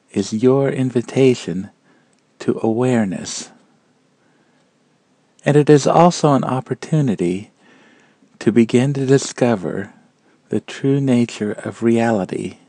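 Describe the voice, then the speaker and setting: Slow, mellow man guiding a meditation. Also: mid-range voice, dry recording. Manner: calm, measured, gentle phrasing with long pauses. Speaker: meditation guide. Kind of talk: guided meditation narration.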